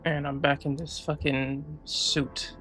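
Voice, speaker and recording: A man's voice: a little high, little pitch movement, slow. A man giving casual, offhand gameplay reactions as a streamer, informal and prone to swearing. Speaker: video game streamer. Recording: faint game music behind.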